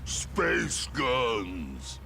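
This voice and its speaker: A man voicing a huge, dim, grumpy mutant turtle in an animated game, in halting broken speech. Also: medium pitch, gravelly, shouting, music in the background, heavily acted. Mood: suspicious. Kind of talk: animated video game creature acting.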